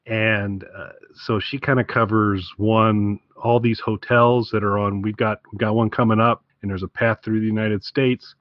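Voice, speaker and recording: A man with a fairly low voice chats as a podcast co-host. His delivery is conversational and wry. The recording is very dry and somewhat dull, lacking crispness. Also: flat delivery, moderate pace, hardly any pauses, no echo. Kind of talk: casual podcast chat.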